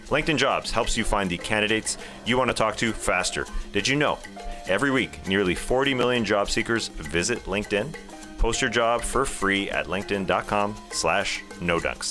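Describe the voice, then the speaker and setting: Bright, lower-pitched man reading an ad. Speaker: podcast co-host reading sponsor ad. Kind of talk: conversational host-read ad.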